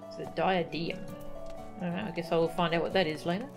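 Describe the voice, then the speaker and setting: A woman's voice: lowish voice, fairly even intonation, quick pace. A woman giving casual, chatty live commentary as she streams a video game, easily delighted. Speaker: game livestreamer. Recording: loud game music behind. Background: video game music.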